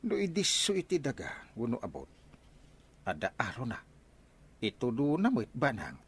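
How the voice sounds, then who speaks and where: A man with an Ilocano Filipino accent playing an excitable, stubborn treasure-hunting village captain in a radio comedy drama. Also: mid-range voice, moderate pace, husky, heavily acted. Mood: confident.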